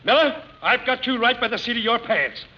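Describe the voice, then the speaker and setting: Bossy hotel manager, really high voice, swoopy and pompous, muffled old radio comedy. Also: male voice, lively intonation, brisk, background noise. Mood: irate. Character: blustering, officious, self-important male hotel manager. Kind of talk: 1940s radio comedy acting. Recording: dull 1940s radio broadcast.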